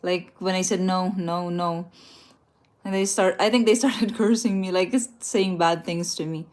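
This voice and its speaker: Chatty woman, slightly low voice. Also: steady tone, a little slow, darkish tone. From Philippines. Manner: chatty, laughs often, small restarts and slips. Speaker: travel livestream host. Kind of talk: casual live chat, reading viewer comments aloud. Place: indoors, a rented room at night.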